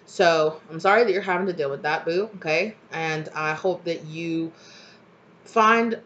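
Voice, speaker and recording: A woman's voice: fairly low pitch, a little slow, fairly bright tone. A woman in her thirties, a little stuffed up from a cold, giving a chatty, reassuring tarot reading to her webcam in a home kitchen, with many fillers and asides. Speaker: tarot reader. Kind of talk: casual channeled tarot reading to camera. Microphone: webcam at desk distance. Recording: slightly dull webcam audio.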